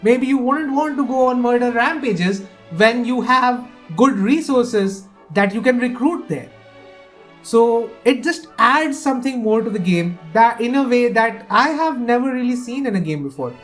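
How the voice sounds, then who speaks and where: A woman from India, hosting a casual, excitable and opinionated game preview and often restarting her sentences. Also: fairly high pitch, unhurried, faint music behind. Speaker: gaming video host.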